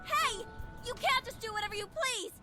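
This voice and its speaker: Very high, flustered anime tsundere girl. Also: bright, forceful tone, faint music behind, full character voice. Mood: indignant. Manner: halting, defensive delivery. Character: shy, flustered, easily indignant young tsundere anime girl. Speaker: visual novel voice actress. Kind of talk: anime visual novel acting.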